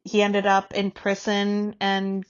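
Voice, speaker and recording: A woman's voice: medium pitch, completely flat delivery, slow, clear, resonant tone, lo-fi sound. A woman co-hosting a podcast in casual, candid conversation, informal and ready to tease or challenge. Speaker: podcast co-host.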